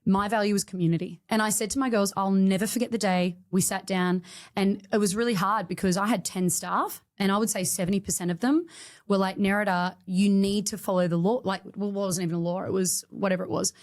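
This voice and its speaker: A woman's voice: medium pitch, steady tone, a little fast. A woman, a studio owner telling her story with feeling in a podcast interview. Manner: emotional, emphatic storytelling.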